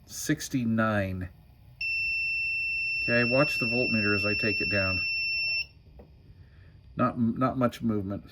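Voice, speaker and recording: A man giving a casual hands-on demo as a hobbyist host, folksy and thinking out loud. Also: fairly low pitch, slow pace, pauses often, heavy background noise. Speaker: hobbyist host demonstrating a homemade power supply. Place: workshop bench.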